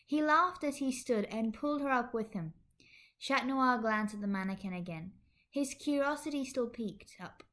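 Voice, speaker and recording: A woman narrating fan fiction expressively as a podfic reader. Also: medium pitch.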